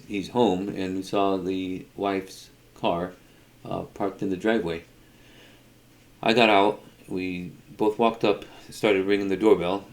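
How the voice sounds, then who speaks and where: A middle-aged man telling an unscripted personal story in a halting way, often repeating words as he goes. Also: deep voice, darkish tone. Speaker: storyteller, video creator.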